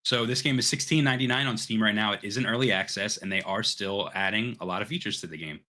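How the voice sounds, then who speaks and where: Male podcast host, bright forward voice, eager and informal, sweary casual gaming chat. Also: fairly low pitch, fast talker.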